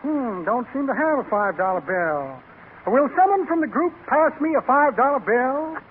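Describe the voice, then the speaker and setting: A man's voice: very high voice, lively intonation, moderate pace. A man playing a pompous, shifty amateur magician with grandiloquent, evasive patter in 1930s radio comedy style. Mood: showy, boastful. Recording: tinny, noisy 1930s radio recording.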